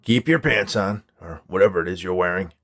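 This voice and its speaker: A man's voice: sits low, a little fast, fairly bright tone, a little hiss or hum. A man voicing a grumpy, stubborn old man in a story, with curt, sardonic, dismissive delivery. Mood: exasperated. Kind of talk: storybook character dialogue.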